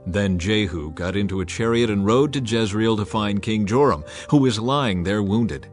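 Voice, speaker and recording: A man reading a dramatized Bible passage as narrator, lifting his voice to act out the quoted speakers. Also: moderate pace. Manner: acts out quoted speakers by raising pitch and volume. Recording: soft music bed behind the voice.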